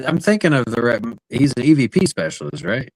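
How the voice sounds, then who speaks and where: A man co-hosting a paranormal talk show in casual chat, easygoing and joking, reacting quickly. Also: mid-range voice, very animated, no echo, poor audio. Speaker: podcast co-host.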